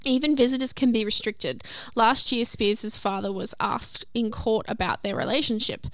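A woman's voice: a little high, expressive, moderate pace, gravelly, dry recording. A young woman, co-hosting a podcast and reading notes aloud in a rambling, chatty way that often stops and restarts. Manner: rambling, chatty, self-interrupting. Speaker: podcast co-host.

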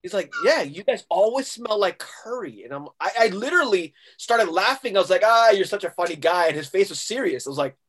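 Animated man telling stories, slightly high. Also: fairly quick, hardly any pauses. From Hong Kong. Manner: animated storyteller, acting out quoted exchanges. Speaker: guest musician. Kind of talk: casual interview talk.

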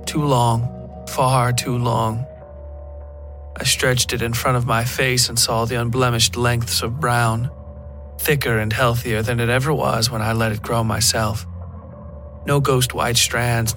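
A man's voice: medium pitch, a bit bright. A man reading a scripted first-person horror story as the narrator of a fiction podcast.

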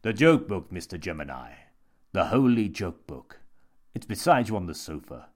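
A man's voice: low voice, big pitch swings, moderate pace. A man voicing a sinister monk and self-styled holy fool in an audiobook, formal, grandiose and menacing. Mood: stern, impatient. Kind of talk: audiobook character voice.

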